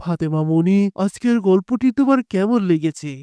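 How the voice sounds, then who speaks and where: A woman voicing a kindly, pious grandfather storyteller with a gentle manner in an animated moral series. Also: mid-range voice, moderate pace, clean tone, no echo, natural voice. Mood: calm.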